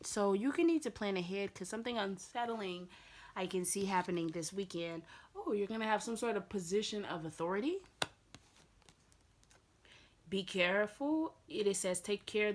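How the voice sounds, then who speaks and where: A woman with a slightly raspy voice giving a casual tarot reading, chatty and repetitive, with lots of fillers. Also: medium pitch, moderate pace, a little hiss or hum. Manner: chatty, repeats herself with many fillers. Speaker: tarot reader.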